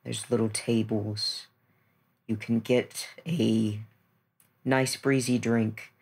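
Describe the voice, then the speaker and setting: Slow, deliberate man telling a story. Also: lowish voice, flat delivery, vocal fry, a little mellow, slightly rough. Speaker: player in a tabletop game. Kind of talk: improvised storytelling.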